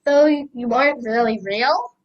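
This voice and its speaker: A woman's voice: a little high, measured pace, lo-fi sound, close to an everyday voice. A young female student playing a snarky, easily grossed-out older sister in a children's radio play. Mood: puzzled.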